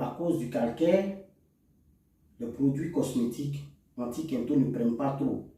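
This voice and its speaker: A man speaks from a little way off the microphone in a strongly reverberant room. His voice is soft-edged and mellow at a medium pitch. He explains products like a teacher, going over points and listing them one by one. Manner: didactic, repeats and enumerates points. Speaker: cosmetics formulator and seller presenting to resellers. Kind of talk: instructional product talk to camera. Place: echoey indoor room. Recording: very echoey room, distant microphone.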